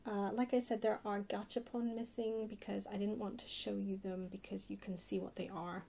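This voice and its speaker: A soft-spoken woman chatting casually in a rambling way for an ASMR haul video. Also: mid-range voice, flat delivery, normal speed. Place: indoors at a table, hands-only camera. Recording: clear background hiss or noise.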